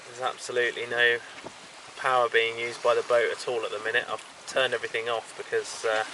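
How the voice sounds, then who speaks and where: Solo sailor vlog voice: man, mid pitch, halting and casual, recorded outdoors at sea with clear wind and water noise. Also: monotone, sharp tone, rough recording. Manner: halting, lots of ums and restarts. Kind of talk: casual video diary. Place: outdoors on a small sailboat at sea. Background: wind, water and boat sounds.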